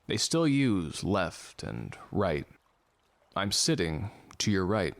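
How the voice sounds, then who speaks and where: A literal-minded man of medicine in an audio drama. His voice is fairly low and very unhurried. He explains things plainly and didactically. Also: sing-song, vocal fry, no echo, heavily acted. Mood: didactic, calm. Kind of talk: scripted audio drama acting.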